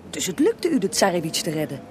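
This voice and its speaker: A woman's voice: fairly low pitch, very animated, fast, noisy background. A woman playing a probing, sceptical radio reporter in a drama staged as reportage, matter-of-fact and inquisitive. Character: probing, sceptical female radio reporter interviewing historical figures.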